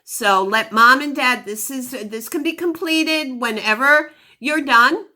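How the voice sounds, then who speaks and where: A woman teaching a phonics lesson to young children, giving deliberate instructions and sounding out words. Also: high-pitched, sharp tone.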